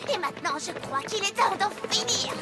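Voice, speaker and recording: A woman voicing a brash, competitive young female pony in a French cartoon dub, excitable and cocky. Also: very high-pitched, normal speed, loud music behind the voice. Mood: defiant.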